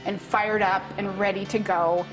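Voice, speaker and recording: A woman giving an unscripted interview testimonial, in measured phrases. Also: mid-range voice, level tone, music in the background, rough recording.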